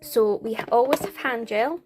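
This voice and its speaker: A woman's voice: on the high side, moderate pace, noisy background. A woman from Scotland with a Scottish accent, talking through household products in a casual, upbeat vlog with small laughs and fillers. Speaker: parenting vlogger.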